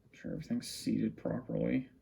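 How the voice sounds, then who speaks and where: A man with a gravelly, creaky voice giving casual unscripted commentary, muttering to himself while he works with his hands. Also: mid-range voice, little pitch movement, fairly quick, dark tone. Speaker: hobbyist vlogger showing a radio collection. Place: small indoor room at a cluttered desk.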